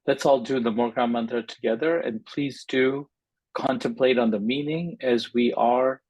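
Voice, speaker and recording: A man's voice: mid-range voice, fairly even intonation, moderate pace, darkish tone, no echo, rough recording. A man who teaches religious classes, speaking informally to a group.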